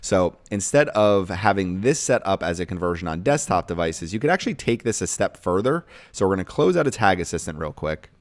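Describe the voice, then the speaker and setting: A man's voice: low-pitched, big pitch swings, a little fast, few pauses, dry recording. A man hosting a casual screen-share tutorial, explaining each step in a plain-spoken, instructional way. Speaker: tutorial host and online course creator.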